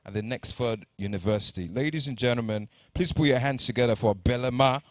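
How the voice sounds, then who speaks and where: A man's voice: medium pitch, fairly quick, no room sound, clean studio sound. A man, introducing conference speakers from the stage, reading their bios and stumbling now and then on names. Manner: reads bios, stumbles on names, jokes lightly. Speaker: conference emcee.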